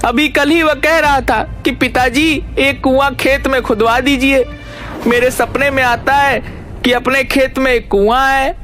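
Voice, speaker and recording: A grieving village father in a moral tale, performed by a man. The voice is extremely high and bright, mournful and resigned, with faint music behind. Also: fairly even intonation, a little slow, heavily acted.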